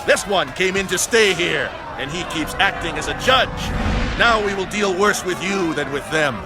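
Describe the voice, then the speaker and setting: A belligerent mob member in a biblical audio drama. His voice is high and threatening, pushing aggressively over prominent music. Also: expressive, normal speed. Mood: angry threat. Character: menacing, belligerent man of a hostile mob. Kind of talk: dramatized audio Bible acting.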